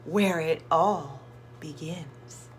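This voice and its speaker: A woman's voice: low voice, voice pushed down. A woman voicing a cheerful little female bird who teaches, gushing and theatrical, in a children's storybook. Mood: marveling. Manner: gushing, theatrical, drawn-out emphasis.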